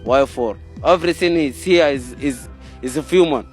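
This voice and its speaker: A man's voice: mid-range voice, normal speed, soft background music. A man, not a native English speaker, pleading in broken English in a street interview, repeating himself. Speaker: refugee interviewee.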